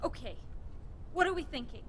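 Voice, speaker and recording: Woman acting a very high, lively chirpy rescuer girl. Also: normal speed, background noise. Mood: pondering. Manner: chirpy, courteous, theatrical. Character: Lily, chatty, polite, excitable young female rescuer. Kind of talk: audio drama acting.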